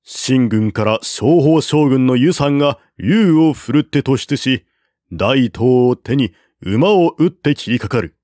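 Man narrating an old war story, real slow, dry close mic. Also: medium pitch, lively intonation, dark tone, no echo. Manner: slow, measured reading. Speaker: audiobook narrator. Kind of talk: scripted narration of a classical war novel.

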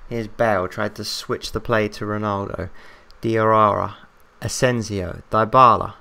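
An English man commentating on a football management game in casual chat, excitable and rambling, his voice getting worn out. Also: lowish voice, measured pace, creaky. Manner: excitable, rambling, talks to himself. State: losing his voice, coughing.

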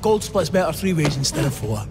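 A man's voice: on the high side, very animated, fairly quick. A man playing a brash, greedy, callous treasure hunter in a video game cinematic, talking in an impatient, commanding way. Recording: loud dramatic music bed. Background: loud cinematic music.

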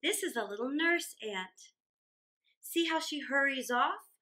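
A woman voicing a kindly, wise father in a storybook, patient and gently teasing as he explains. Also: high voice, animated, measured pace, heavily acted. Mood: explaining. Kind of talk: storybook character voice.

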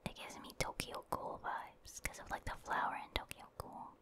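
Girl whispering through a game playthrough, asmr style, casual slangy chatter to viewers, super breathy unvoiced. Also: normal speed. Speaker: streamer, gaming ASMR creator. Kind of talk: whispered ASMR gameplay commentary.

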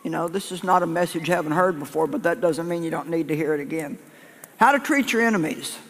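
A man's voice: a little high, quick pace, vocal fry, a bit bright. Older man preaching in a big echoey church. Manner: conversational, folksy, restarts phrases, repeats points for emphasis. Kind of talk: sermon to a live congregation. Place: large church sanctuary.

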